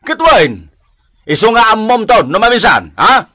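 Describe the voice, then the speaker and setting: Cantankerous old Ilocano man, loud scolder, sharp high voice, radio comedy drama. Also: big pitch swings, measured pace, no echo, studio quality, full character voice. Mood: irritated, scolding. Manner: scolding, blustering, abrupt.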